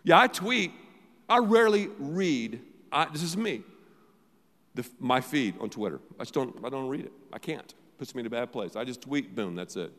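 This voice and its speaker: A middle-aged pastor preaching a sermon, emphatic, repeating phrases, swinging between loud and quiet. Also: male voice, mid-range voice, lively intonation, normal speed. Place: large church auditorium. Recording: long hall reverb.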